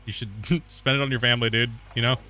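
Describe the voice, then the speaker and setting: A man's voice: medium pitch, steady tone, fast talker, sharp tone, a little hiss or hum. A man streaming a mining game, chatting loosely and rambling, peppering his talk with "like" and "dude", and itchy from a sunburn. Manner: rambling, laid-back, heavy use of "like" and "dude". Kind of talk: casual gameplay commentary.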